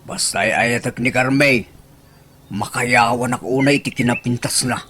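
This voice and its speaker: A man's voice: medium pitch, steady tone, normal speed, sharp tone, a little hiss or hum, lightly acted. A male actor playing a stubborn, lovesick older man in a radio comedy drama. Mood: stubborn, smitten.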